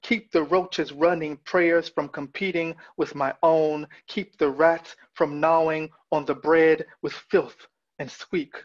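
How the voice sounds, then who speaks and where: A man in his thirties reading poetry with deliberate, measured phrasing over a video call. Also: a little high, fairly even intonation. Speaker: poet. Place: small room at home with bookshelf. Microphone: video call, wearing headphones. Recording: dull, lo-fi video call audio.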